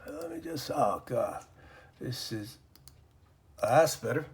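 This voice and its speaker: A man's voice: medium pitch, wildly swooping pitch, slow, vocal fry. A man whose first language is Persian, teaching an informal English grammar lesson from his desk, rambling with many fillers and muttering to himself as he draws. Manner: rambling, lots of fillers and self-talk while drawing.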